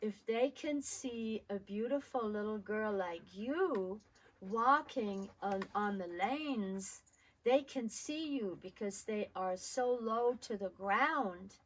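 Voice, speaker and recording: A hoarse older woman voicing a kind older woman who runs a nursery, in a story she tells. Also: mid-range voice, low-quality recording. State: hoarse, crackly voice.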